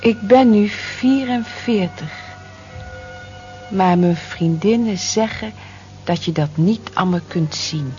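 An actress in a radio play as a lonely, gentle working-class housewife in her forties, speaking in a soft, confiding, reflective way. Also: fairly low pitch. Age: 40s. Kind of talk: naturalistic radio play acting, intimate monologue. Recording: muffled old radio drama recording.

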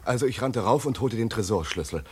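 An actor playing a harried wartime German inspector in a radio play, flustered and brusque. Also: male voice, lowish voice, lively intonation, a little fast, very noisy, close to an everyday voice. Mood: weary, recollecting.

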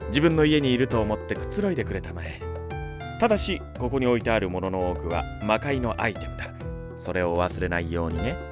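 A man playing a genial, doting middle-aged demon king, gentlemanly and theatrical, in an anime audio drama. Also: fairly low pitch, sing-song, fairly quick, over music.

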